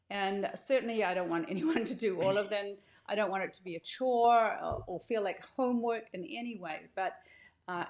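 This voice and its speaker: An older woman speaking as an author and host, casually explaining things in an encouraging, inviting way. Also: mid-range voice. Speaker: author hosting a welcome video.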